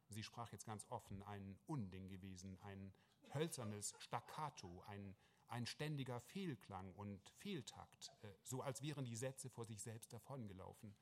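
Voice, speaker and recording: A man reading a first-person literary story aloud, measured and wry, with small restarts. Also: lowish voice, darkish tone. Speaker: narrator. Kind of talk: first-person literary narration, reported speech.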